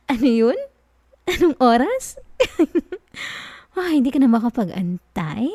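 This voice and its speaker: Female performer as a teasing, dominant professor; soft ASMR delivery, warm low-key tone, dry close sound. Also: very animated, slow pace, no room sound, exaggerated voice. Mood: amused, coy. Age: adult. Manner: teasing, soft-spoken, chuckles often. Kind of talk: ASMR roleplay.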